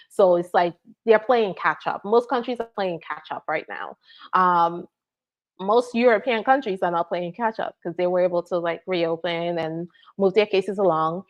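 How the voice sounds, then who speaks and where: A woman from Nigeria, working as an immigration attorney, answers viewer questions in a relaxed live Q&A and keeps checking in with "right?" and "all right?". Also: on the low side, lo-fi sound. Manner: explanatory, frequent "right?" and "all right?" check-ins.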